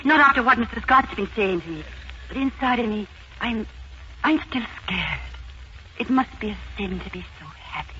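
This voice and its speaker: An actress playing a young Irish housemaid in love, with an Irish accent and earnest, emotional 1940s radio acting. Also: female voice, on the high side, expressive, normal speed, bright, forceful tone. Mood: overjoyed, nervous. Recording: noisy 1940s radio broadcast, muffled.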